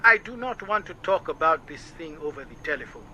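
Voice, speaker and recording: A man's voice: fairly high pitch, lively intonation, fairly quick, bright, forceful tone. An actor playing an anxious, earnest man phoning a friend in a radio drama, insistent, with a Southern African accent. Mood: anxious, urgent.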